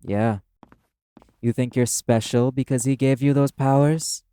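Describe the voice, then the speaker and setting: A man's voice: mid-range voice, steady tone, a little slow, dark tone, a little hiss or hum, lightly acted. A man playing a brash, proud demon in an audio roleplay, terse, scornful and commanding in manner. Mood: impatient, scornful. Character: brash, proud, curt demon, prickly and guarded.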